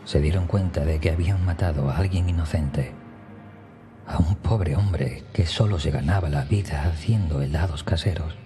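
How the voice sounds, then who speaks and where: A man narrating a scripted first-person horror story in a hushed, breathy manner that often nears a whisper, with music behind him. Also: very deep voice, normal speed. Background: background music.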